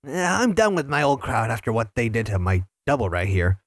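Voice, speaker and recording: A woman voicing an upbeat, excitable young male hedgehog hero in a fan audio drama, with a husky voice. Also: extremely low pitch, a little fast, no room sound, studio quality, exaggerated voice. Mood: eager. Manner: excitable, bouncy, eager.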